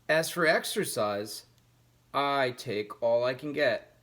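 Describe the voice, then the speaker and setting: A man's voice: medium pitch, expressive, slow pace, a bit bright. A man voicing a small, earnest, boastful boy mouse from a storybook, eager in manner. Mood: proud, eager.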